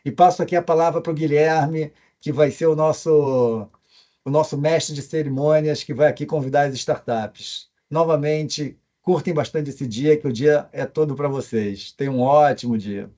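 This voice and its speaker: A man's voice: a little high, moderate pace, mellow. Carioca-accented man on a dull remote call. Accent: Carioca Portuguese. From Brazil. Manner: hesitant, with frequent fillers. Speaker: company co-founder speaking remotely. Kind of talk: presentation remarks. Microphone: video call.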